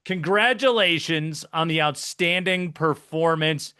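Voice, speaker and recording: A man hosts a sports interview, introducing his guest with upbeat, polished broadcaster energy. His voice is bright and crisp and sits a little high for a man. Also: unhurried. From mainland United States. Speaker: sports show host. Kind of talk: interview hosting.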